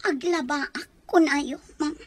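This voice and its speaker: A woman's voice: high voice, a little slow, no room sound. A woman acting a meek, frightened young housemaid held captive, pleading in a radio drama. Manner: pleading, deferential.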